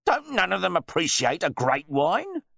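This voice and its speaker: A pompous, blustering rich man, loud and boastful, his voice pushed high and swooping widely. He speaks with rough grammar and overbearing confidence in a dry storybook reading. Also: wildly swooping pitch, moderate pace, no room sound, full character voice. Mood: indignant. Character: pompous, blustering, newly rich male wine bore.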